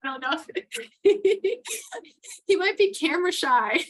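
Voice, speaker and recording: A woman's voice: extremely high pitch, animated, measured pace, slight background noise. A middle-aged woman from New York with a Texan accent, chatting casually as an interview guest and laughing often. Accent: Texan with some New York. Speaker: guest, towboat port captain.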